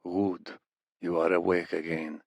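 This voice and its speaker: A man voicing a muscular, menacing Iranian interrogator in an audiobook, speaking softly with mock politeness in an Iranian accent. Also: low voice, flat delivery, gravelly, dry recording, full character voice. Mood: mock-friendly menace. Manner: soft-spoken, slow, mock-polite menace.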